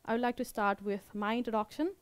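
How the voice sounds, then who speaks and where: A young woman with a Nepali accent gives an informal meetup presentation as an organizer, in a halting way, often repeating and rephrasing her words. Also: fairly high pitch, fairly even intonation, smooth, dry recording.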